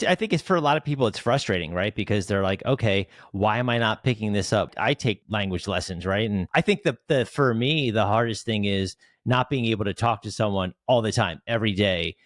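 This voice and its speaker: A man's voice: lowish voice, lively intonation, fairly quick, hardly any pauses, no echo, studio quality. A male podcast host chatting casually, summing up his guest's points in a friendly, conversational way. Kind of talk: casual interview chat.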